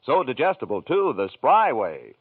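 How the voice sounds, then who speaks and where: Persuasive 1940s radio ad man. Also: huge pitch swings. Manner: slow, persuasive sales pitch. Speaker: commercial announcer. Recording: tinny 1940s radio broadcast with music, distant.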